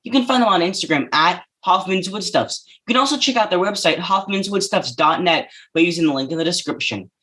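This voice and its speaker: A man hosting an interview podcast, asking prepared questions and reading a sponsor spot in an even, measured way. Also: high voice. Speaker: podcast host.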